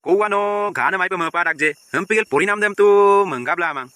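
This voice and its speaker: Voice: mid-range voice, fairly quick, background noise, lightly acted. An actor voicing a scolding, exasperated schoolteacher in a cartoon comedy.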